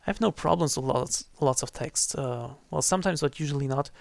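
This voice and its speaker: A man's voice: a little high, brisk, gravelly, dry recording. A man giving casual livestream commentary on a game, rephrasing himself as he goes. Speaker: game streamer. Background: faint game music.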